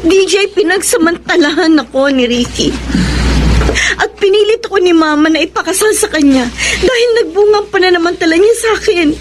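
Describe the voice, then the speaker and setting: A woman's voice: extremely high pitch, lively intonation, brisk, noisy background. A woman playing a lovelorn, pleading woman in a Filipino radio romance drama, emotional and tearful, with a Filipino accent. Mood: anguished, tearful. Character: Star, lovelorn woman pleading with her former sweetheart. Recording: music bed behind the voice.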